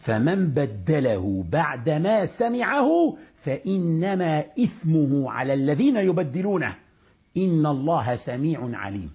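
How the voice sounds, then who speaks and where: A man with an Egyptian accent teaching a Quran commentary lesson, explaining verses in colloquial speech and reciting them slowly. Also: a little high, slightly rough. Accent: Egyptian Arabic. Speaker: religious teacher. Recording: dull lo-fi recording.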